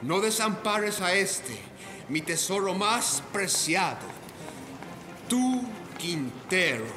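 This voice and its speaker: A man's voice: high voice, sing-song, takes it slowly, heavily acted. A man playing a proud, menacing old ghost pirate captain in a radio drama, grave and theatrical. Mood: grave warning. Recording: music behind. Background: music.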